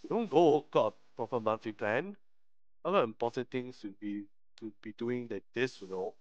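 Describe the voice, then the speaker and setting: A man's voice: medium pitch, moderate pace, no echo, highs cut off. Man streaming a game, slangy delivery. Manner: slangy, often stumbles while reading. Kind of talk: live let's-play, reading visual novel dialogue aloud with slangy commentary.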